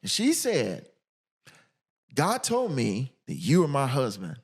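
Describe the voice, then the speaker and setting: A man's voice: medium pitch, huge pitch swings, slow, gravelly, slightly rough. A middle-aged American man, a podcast guest, telling personal stories casually in a candid, emphatic way.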